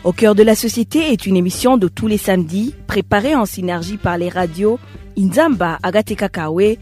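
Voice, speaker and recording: A female announcer opens a radio show with a bright, emphatic delivery at a middle pitch. A faint music bed plays underneath her. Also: normal speed, breathy edge.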